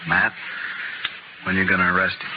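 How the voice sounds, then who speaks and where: Wry gunslinger, very noisy recording. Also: male voice, sits low, very animated, normal speed, gravelly, full character voice. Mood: grim. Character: wry, dry-humored gambler gunman of the Old West. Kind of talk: 1950s radio western acting. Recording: very noisy, muffled old radio recording.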